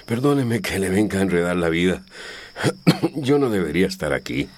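An actor playing an injured, weary, humble countryman in a radio drama, halting and sorrowful, with a Latin American accent. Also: deep voice, animated. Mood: pained, apologetic. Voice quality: husky, with coughing and groaning breath.

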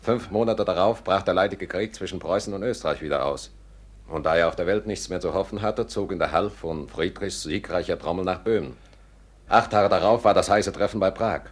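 An actor playing a gruff conspirator posing as a humble soldier in a classic radio drama, speaking in a grave, declamatory way. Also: male voice, low voice, a little fast. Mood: solemn, sorrowful.

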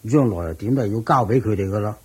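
An actor playing a wealthy old merchant and landlord in a Cantonese radio drama, with a drawn-out delivery of chuckles and sighs. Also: male voice, lowish voice, darkish tone, slightly rough. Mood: worried, weary.

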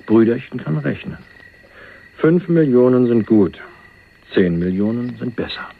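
An actor playing a hunted young millionaire on the run, wry and streetwise, talking in a dry, colloquial, confiding way in a crime radio play. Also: male voice, medium pitch, expressive, measured pace, creaky, slight background noise. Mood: dry, sardonic. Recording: muffled phone-like narrowband radio recording.

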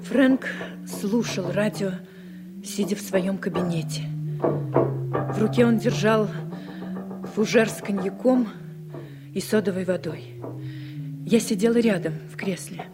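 A woman's voice: medium pitch, takes it slowly, long pauses, lightly acted. Watchful woman acted in radio drama, with music. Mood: reflective narration. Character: watchful woman narrating her memories, questioning her partner. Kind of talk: dramatic scripted narration in 1960s radio drama. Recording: vintage radio play with music.

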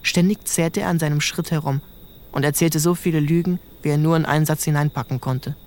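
An actor narrating an audio drama in the first person as a reflective youngest brother. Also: male voice, a little high, fairly even intonation, brisk, a little mellow, natural voice. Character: reflective youngest brother recalling a rough childhood.

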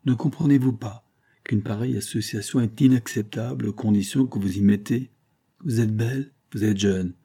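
A man's voice: lowish voice, moderate pace, vocal fry, dark tone, breathy edge. A man reading an audiobook, voicing a drunken, bitter glassmaker husband who bullies and wheedles, emphatic and sardonic. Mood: wheedling.

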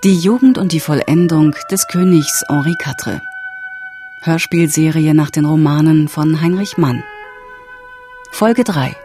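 A man giving a formal, measured scripted narration as the announcer of a radio drama. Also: fairly high pitch, mellow, soft background music.

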